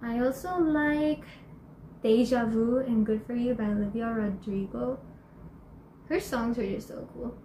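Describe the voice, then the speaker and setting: A teenage girl chatting in a bubbly way in a casual home vlog, laughing often and filling pauses with fillers. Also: on the high side, fairly even intonation, warm tone, a little hiss or hum. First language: Spanish and English. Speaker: YouTuber. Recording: echoey room, faint ambience.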